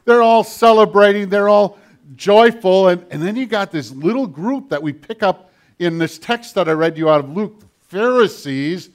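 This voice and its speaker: An older man preaching a sermon with a children's message, animated and storytelling with a big voice. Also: high-pitched, moderate pace.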